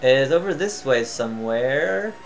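A tired man giving casual, chatty commentary as he plays a video game, thinking out loud and humming to himself. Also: mid-range voice, animated, measured pace, gravelly, faint music behind. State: tired, voice near cracking. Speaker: gaming channel host.

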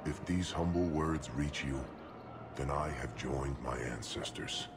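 Very deep, slow, solemn alien warrior poet. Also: male voice. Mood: solemn, tender. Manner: slow, solemn poetic delivery. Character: dying male alien krogan warrior poet, solemn and devoted. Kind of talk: dramatic game voice acting reciting verse. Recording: music playing behind.

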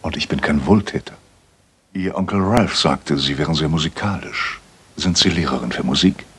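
An actor playing a courteous, frank widowed gentleman landowner in a German radio play, speaking in a measured, matter-of-fact way. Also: rumbling low, breathy edge, full character voice. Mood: businesslike, frank.

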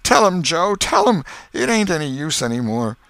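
A man's voice: on the high side, big pitch swings, normal speed, a bit bright, dry recording, voice pushed up. Man playing a wailing, frightened accused drunkard. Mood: defeated pleading. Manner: pleading, wailing, broken rustic speech. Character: frightened, sobbing male town drunkard accused of murder.

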